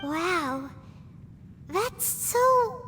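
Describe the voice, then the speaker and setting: A woman voicing a tiny, childlike fairy companion in a video game, excitable, curious and wide-eyed in her exclamations. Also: very high-pitched, drawn-out words, heavily acted. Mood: amazed. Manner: exclamatory, wide-eyed, refers to self in third person. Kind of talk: video game character dialogue. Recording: long reverb with music.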